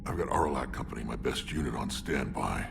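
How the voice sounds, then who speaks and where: Deep, gravelly alien warlord. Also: male voice, lively intonation, fairly quick, far from the mic, very noisy, heavily acted. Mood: grim, urgent. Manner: blunt, sardonic, weighty delivery. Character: gruff battle-hardened male alien warlord, wry and proud. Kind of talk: video game character acting.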